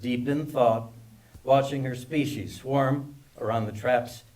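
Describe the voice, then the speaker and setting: Mid-pitched man narrating a screenplay. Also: steady tone, slow, darkish tone. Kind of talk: scripted narration of stage directions at a table read.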